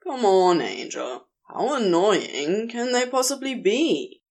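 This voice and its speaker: A woman's voice: mid-range voice, big pitch swings, slow pace, a little mellow, exaggerated voice. A woman voicing a laid-back male demon in an audio story, casual and coaxing. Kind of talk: audio fiction reading.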